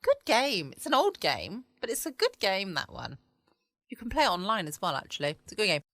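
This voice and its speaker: An English woman chatting easily and rambling a little as she hosts from her home. Her voice is bright and forceful, set in a mid range and recorded close and dry, with no room sound. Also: medium pitch, big pitch swings, brisk, no room sound. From England. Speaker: podcast host. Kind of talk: casual chat.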